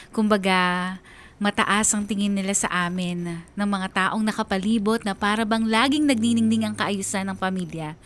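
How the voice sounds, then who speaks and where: A woman with a Filipino accent narrating a scripted radio drama in a storytelling style. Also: mid-range voice.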